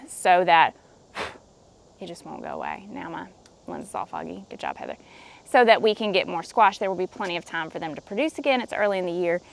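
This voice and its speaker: A woman's voice: fairly low pitch, vocal fry. Chatty woman touring her garden outdoors. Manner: chatty, upbeat, self-deprecating, lots of ums. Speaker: gardening vlogger. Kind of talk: casual walking garden tour. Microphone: handheld camera with small mic held close.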